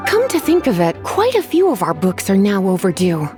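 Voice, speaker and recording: Teasing librarian with animated intonation. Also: female voice, mid-range voice, over music. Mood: weary, ominous. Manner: coy, sighing, drawn-out politeness. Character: languid teasing adult woman librarian, coolly menacing underneath. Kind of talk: English video game voice acting.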